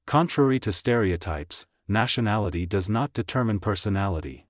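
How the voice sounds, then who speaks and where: A man reading English practice sentences aloud, slowly and clearly, for learners to repeat. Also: fairly low pitch, dry recording, studio quality. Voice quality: plain, even reading of each sentence, repeated. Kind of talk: slow, clear scripted reading for shadowing practice.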